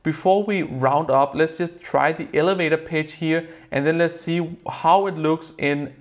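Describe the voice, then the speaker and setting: Young man, Danish accent, slightly higher voice, earnest explainer, desk-side review to camera. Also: steady tone, measured pace. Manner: earnest, explanatory, slightly non-native phrasing. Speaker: software reviewer. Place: plain indoor room at a desk.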